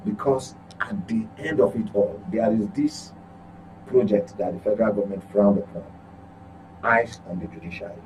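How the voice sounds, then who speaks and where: A man's voice: on the low side, moderate pace, a little mellow, a little hiss or hum, low-quality recording. A man with a Nigerian accent giving opinionated current affairs commentary as a journalist, speaking emphatically, repeating points and asking rhetorical questions.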